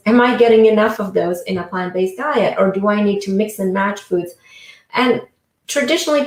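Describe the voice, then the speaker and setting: A woman's voice: mid-range voice, darkish tone. Woman doctor explaining, echoey room. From India. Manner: explanatory, upbeat. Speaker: physician guest. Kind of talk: interview answers.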